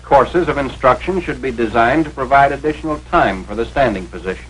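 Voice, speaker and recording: An American man with a mid-pitched voice narrates in the manner of a 1940s military training film. His delivery is authoritative and instructional, with clipped, formal phrasing. The vintage soundtrack carries a light layer of noise. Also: a little fast, fairly bright tone, low-quality recording.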